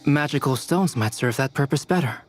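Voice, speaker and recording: An actor playing a calm, thoughtful, analytical male scholar in a video game. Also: medium pitch, brisk, faint music behind, natural voice. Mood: pondering. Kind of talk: video game character acting.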